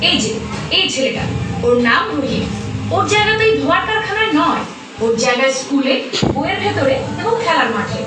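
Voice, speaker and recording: A woman acts as a caring but firm social worker preaching to villagers in a puppet play. Her high voice swings widely and echoes strongly over music, as if some way from the microphone. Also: animated, a little fast. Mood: reassuring. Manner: emphatic, preachy. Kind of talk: scripted puppet show dialogue, awareness speech. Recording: very echoey, distant, music behind.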